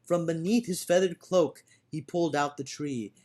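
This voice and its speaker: A man reading a storybook aloud as a dramatic, theatrical storyteller who moves between hushed and loud delivery. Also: on the high side, moderate pace. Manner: theatrical, swinging from hushed to shouting. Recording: orchestral music behind the voice. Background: orchestral music.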